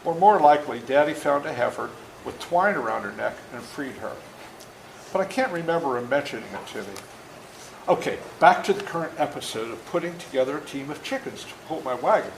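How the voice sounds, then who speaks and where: A man in his seventies reading a story aloud to children in a folksy, interactive, animated way, as an author before a young audience. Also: a little high, a little fast, vocal fry. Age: 70s. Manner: folksy, interactive, acts out moments. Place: indoor room before a school audience. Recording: roomy echo, faint background noise.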